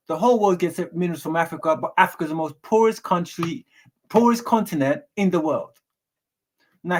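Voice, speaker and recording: A man talks as a guest in a political debate. He is earnest and casual, stacking up repeated phrases to make his point. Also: fairly high pitch. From Kenya.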